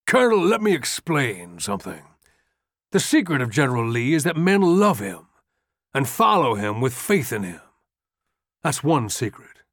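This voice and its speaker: A man voicing a gruff, weary army general who speaks bluntly and earthily. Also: mid-range voice, big pitch swings, moderate pace, fairly bright tone, no room sound, clean studio sound. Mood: forceful.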